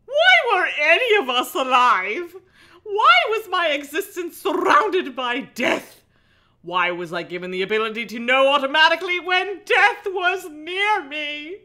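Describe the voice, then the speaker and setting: A woman with a high, bright voice reads aloud on a livestream, breaking off for mocking asides. She is giggly and sarcastic, swears freely, and takes an unhurried pace. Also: sing-song. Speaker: livestream host reading a book aloud. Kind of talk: cold reading with mocking asides.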